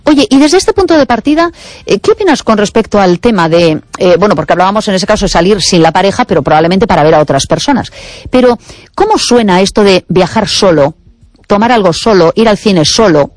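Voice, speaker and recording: A woman hosting a radio program, asking probing questions and filling pauses with short acknowledgments. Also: mid-range voice, expressive, no echo. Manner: probing, many ujú backchannels. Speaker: radio host. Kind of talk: radio interview.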